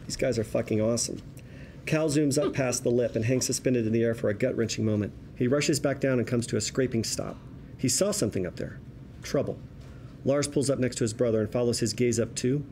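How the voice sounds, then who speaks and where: A man's voice: medium pitch, a little fast, warm tone, slight background noise. A man reading stage directions as scripted narration at a table read.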